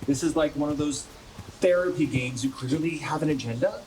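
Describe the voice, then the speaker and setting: An American man acting in a radio play as a troubled, injured young soccer player, halting and confessional, with a bitter, sarcastic, profane edge. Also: a little high, darkish tone, slight background noise, natural voice, poor audio. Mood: angry, defensive.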